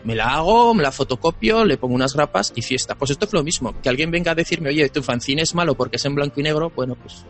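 Guy on a podcast, really emphatic, soft music behind. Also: a little high, lively intonation, quick pace, few pauses. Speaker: podcast guest. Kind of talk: casual roundtable chat.

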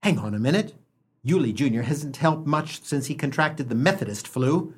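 A sardonic small-town husband played by a man in a radio comedy. His mid-pitched voice is husky, and he grumbles in wry, wordy turns of phrase. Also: animated, moderate pace. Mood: dryly scheming. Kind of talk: comic radio play acting.

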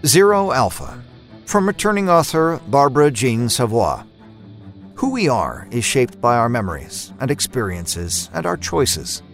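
A man's voice: mid-range voice, sing-song, a little slow, a bit bright. A man hosting a horror fiction podcast, reading a scripted introduction with a theatrical, dramatic delivery. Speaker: podcast host. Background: music under the voice.

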